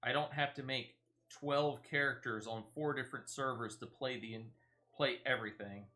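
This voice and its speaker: A man's voice: medium pitch, steady tone, moderate pace, sharp tone. A man who streams games, chatting casually and conversationally with a viewer while he plays. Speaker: game streamer. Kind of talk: casual live-stream chat while playing a game.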